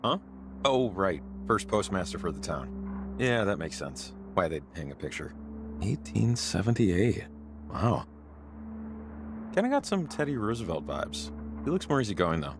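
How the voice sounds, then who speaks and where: An actor playing an easygoing, skeptical companion in a mystery adventure game, casual, hedging and wry. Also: male voice, sits low, big pitch swings, a little slow, long pauses, noisy background, full character voice. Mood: casual, curious. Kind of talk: naturalistic game voice acting. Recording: loud music under the voice.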